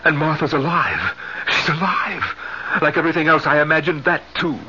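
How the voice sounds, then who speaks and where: A man's voice: on the high side, moderate pace, noisy background, natural voice. An actor playing a tormented middle-aged man, brooding and dramatic as he lives and narrates his ordeal in a radio drama. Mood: shaken, disbelieving. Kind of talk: first-person radio drama narration and acting. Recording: muffled 1970s radio broadcast.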